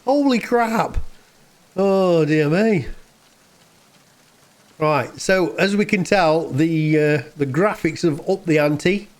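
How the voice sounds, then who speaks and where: A male gamer comments casually on what he plays. His voice sits a little high, and he rambles and hesitates as he reacts. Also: slow, long pauses. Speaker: gaming video commentator.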